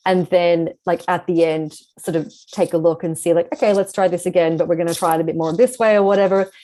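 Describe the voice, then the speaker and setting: A woman's voice: on the low side, fairly even intonation, quick pace, warm tone. A woman speaking as a guest author in a casual interview, explaining things in a reflective way.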